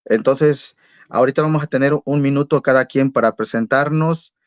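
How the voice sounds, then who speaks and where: A man's voice: medium pitch, normal speed, dry recording. A man introducing and moderating a debate in an orderly, courteous way. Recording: dry, dull lo-fi audio.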